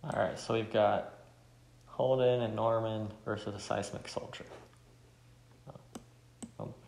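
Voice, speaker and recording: A man's voice: fairly low pitch, steady tone, slow, creaky, darkish tone, roomy sound, slight background noise. A man chatting casually as he plays a game and reads bits of it aloud. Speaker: gamer playing and reading game dialogue. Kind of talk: casual let's play chat with read-aloud dialogue.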